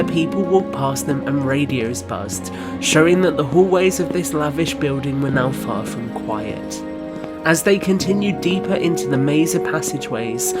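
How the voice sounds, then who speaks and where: A man narrating a scripted audio drama in a measured, descriptive storytelling manner. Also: fairly high pitch. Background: background music.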